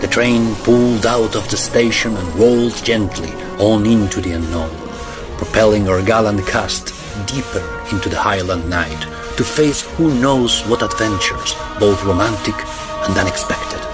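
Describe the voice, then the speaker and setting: A man playing a grandiloquent narrator of a Victorian audio drama farce, telling it in a measured storytelling manner. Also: on the low side, music in the background, lightly acted. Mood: wry, storytelling.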